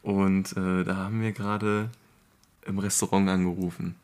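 A man's voice: low-pitched, little pitch movement, normal speed, darkish tone, smooth. A young man hosting a podcast in casual, slangy, colloquial chat among friends. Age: young adult. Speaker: podcast host.

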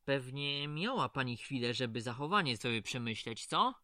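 A man's voice: medium pitch, lively intonation, moderate pace, bright, forceful tone, no echo, studio quality. An actor playing a chatty, folksy male prison clerk in an audio drama, familiar and mildly condescending. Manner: familiar, patronising, colloquial.

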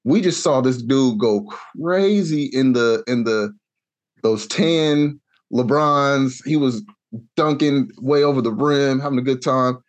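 A young adult man from Kansas telling a long, animated story in a casual podcast chat, acting out the voices he quotes. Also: medium pitch, takes it slowly. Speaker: podcast co-host.

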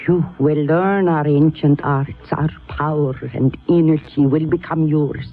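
An actress playing a mystical, commanding older aunt, a solemn sorceress in a 1970s radio drama. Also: female voice, low voice, fairly even intonation, a little slow. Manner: solemn, portentous. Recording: thin, narrowband 1970s radio broadcast.

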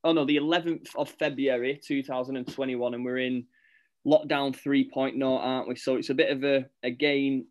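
A man's voice: medium pitch, steady tone, moderate pace. A man hosting a school podcast, reading a scripted welcome and then chatting in an upbeat, encouraging way. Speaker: podcast host. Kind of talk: school podcast host, scripted intro then casual chat.

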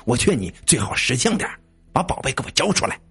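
A man's voice: mid-range voice, very animated, measured pace, vocal fry, darkish tone, breathy edge, soft background music, full character voice. A man voicing a greedy, impatient bully in a spoken story, curt and threatening in his speech. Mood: angry, threatening.

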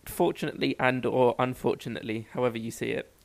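A man's voice: mid-range voice, fairly even intonation, fast, gravelly. A young man in his twenties with a London accent, chatting casually as a podcast co-host, joking and cutting himself off mid-sentence. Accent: London English. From England. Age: 20s. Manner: rambling, joking, self-interrupting. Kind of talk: casual chat.

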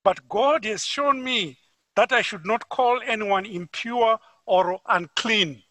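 A man from Zimbabwe with a Zimbabwean accent, preaching a sermon as a guest in a church, with measured, deliberate delivery that rises for emphasis. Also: high-pitched, pushed and bright, no room sound.

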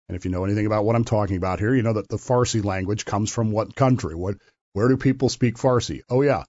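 A man with a mid-pitched voice talks through a story on his own show, conversational and opinionated. He stops to correct himself often. The recording is dry and a little dull. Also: a little mellow, no room sound. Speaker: podcast host. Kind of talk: solo commentary monologue.

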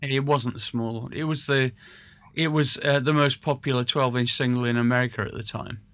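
A man interviewing a guest in a relaxed, rambling way, full of hesitations and restarts. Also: medium pitch, normal speed, no echo. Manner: rambling, hesitant, self-correcting. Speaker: interviewer and musician. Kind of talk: casual conversational interview.

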